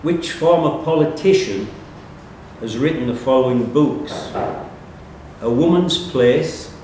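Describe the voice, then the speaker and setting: A man's voice: slow pace, mellow. Male quiz question reader, reading aloud live; very reverberant pub room, faint noise. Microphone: distant room microphone. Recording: echoey room, distant mic, faint rumbling noise.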